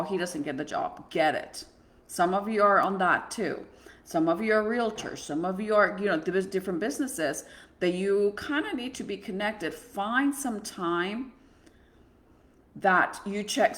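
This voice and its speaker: This is a middle-aged woman, talking as a host and therapist. She gives advice in an earnest, conversational way, with frequent restarts. Her voice sits at an ordinary pitch for a woman. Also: fairly bright tone. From Mexico. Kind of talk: advice monologue on parenting.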